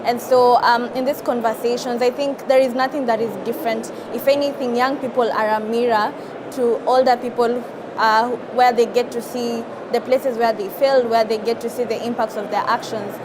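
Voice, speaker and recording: A woman in her twenties from Kenya, answering interview questions in an earnest, measured way with frequent pauses and fillers. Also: fairly high pitch, fairly even intonation. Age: 20s. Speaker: interview guest, climate activist. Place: studio at an outdoor event. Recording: loud background ambience and traffic noise.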